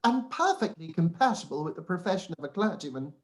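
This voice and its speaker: An actor playing a pompous, obsequious clergyman in a radio play, halting, wordy and self-important. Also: mid-range voice, sing-song, a little mellow, natural voice. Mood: self-satisfied. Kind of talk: radio play live read acting.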